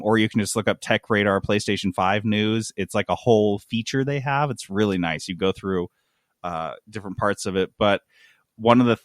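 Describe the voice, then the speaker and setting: A man hosting a casual gaming news discussion, talking in an informal, slangy and animated way. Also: fairly low pitch, no room sound, studio quality. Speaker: podcast host.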